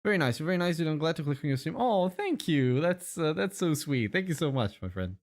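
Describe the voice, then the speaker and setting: A man with an Italian accent, a native Italian speaker, chatting casually and in a friendly, halting way as a game streamer. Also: a little high, very animated. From Italy. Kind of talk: casual livestream chat.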